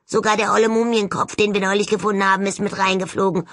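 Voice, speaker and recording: A woman's voice: medium pitch, little pitch movement, quick pace, no room sound, pitched above the performer's own voice, lightly acted. A woman voicing a sullen, sardonic male ghost of a skull in a jar for an audiobook, grumbling and mocking with indignation. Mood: indignant. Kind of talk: audiobook character voice.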